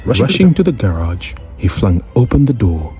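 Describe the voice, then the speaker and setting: A man hosting a storytime, narrating a children's picture book with deliberate, expressive delivery. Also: on the low side. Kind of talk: children's read-aloud narration. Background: music.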